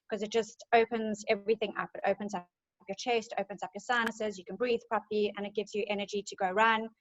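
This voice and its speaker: A woman talks through her topic in a chatty, enthusiastic manner, full of little ums. Her pitch stays fairly level and even. The sound is dull and lo-fi, like an online call. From South Africa. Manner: chatty, enthusiastic, many fillers. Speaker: essential oils presenter. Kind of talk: casual group presentation.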